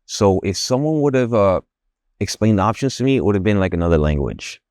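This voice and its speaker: A man hosts a podcast and asks his questions in a halting, thinking-aloud way, restarting his phrases often. His low voice is recorded close and dry, with no room echo. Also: lively intonation, a little mellow. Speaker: podcast host. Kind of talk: podcast interview.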